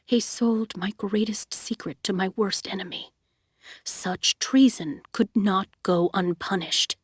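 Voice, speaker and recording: A woman voicing a remorseless male villain who speaks in a low, soothing, unnervingly calm voice. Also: a little slow, no echo, studio quality, natural voice. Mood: cold calm.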